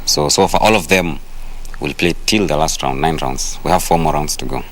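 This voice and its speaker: A man with a measured manner, explaining tournament rules in an interview. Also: very deep voice, slight background noise.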